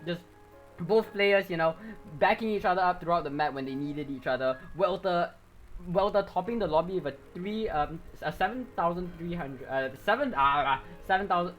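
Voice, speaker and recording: This man is a live esports caster who gets excitable at the big moments. His voice is wearing hoarse from the shouting. Game music plays clearly underneath him. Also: high-pitched, moderate pace, rough recording. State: going hoarse. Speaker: esports commentator.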